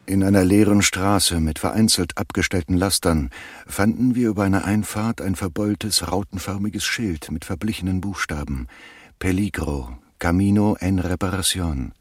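A man with a husky voice acting a wry crime-story narrator in a German radio drama. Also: low voice, moderate pace, darkish tone, dry recording, clean studio sound. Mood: matter-of-fact.

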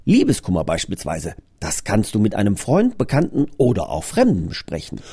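Animated man with a husky, mellow voice. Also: lowish voice, normal speed, no room sound, clean studio sound. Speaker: audio drama creator. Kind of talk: scripted trailer presentation, conversational.

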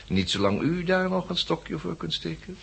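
A man's voice: on the high side, very animated, normal speed, gravelly, a little hiss or hum. An actor playing a blunt, self-assured, scheming businessman in a 1960s radio drama.

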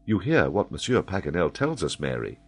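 A man voicing a kindly noblewoman who speaks softly and comfortingly. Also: deep voice, sing-song, brisk, breathy edge, faint music behind, exaggerated voice. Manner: soft, comforting.

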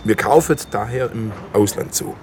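An actor playing an evasive, officious slaughterhouse manager in a naturalistic radio drama, talking in formal, defensive corporate phrases. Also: male voice, lowish voice, moderate pace, darkish tone, faint music behind, natural voice. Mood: confiding, uneasy.

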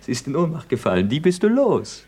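An actor playing a sly, mocking, exuberant schemer in a German radio play, teasing and theatrical. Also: male voice, a little high, very animated, fairly quick, mellow, slight background noise. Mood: sly glee.

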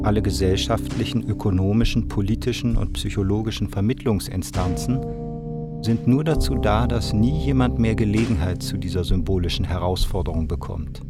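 Low gravelly actor as a solemn philosophical man. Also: close to an everyday voice. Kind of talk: solemn literary recitation in a radio play. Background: loud plucked guitar music.

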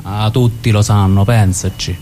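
An actor playing a proud, domineering mobster brother, affectionate yet menacing, with a southern Italian accent in a stage drama. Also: male voice, on the low side, steady tone, measured pace, warm tone, a little hiss or hum, close to an everyday voice. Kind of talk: naturalistic stage drama acting.

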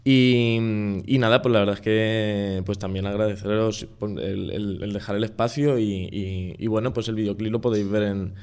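Low-voiced man, relaxed and rambling. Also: normal speed, few pauses, clean tone. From Spain, La Mancha. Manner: relaxed, rambling with frequent restarts and fillers. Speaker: musician guest. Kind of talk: casual radio interview.

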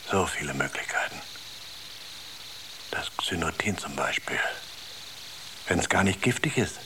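A man playing a tormented, exhausted space traveler in a radio drama, halting and murmuring, often close to a whisper. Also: lowish voice, a bit bright, slight background noise. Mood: suspicious, bitter. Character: tormented, exhausted male space traveler doubting his sanity.

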